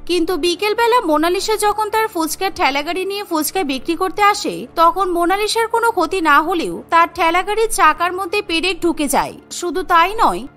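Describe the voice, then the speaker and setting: A woman's voice: extremely high pitch, pushed and bright. A woman giving scripted narration for a cartoon story.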